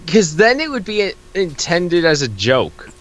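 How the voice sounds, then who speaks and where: A man's voice: sits high, big pitch swings, measured pace, a bit bright. A man co-hosting a comic read-along, chatting and joking casually with his partner.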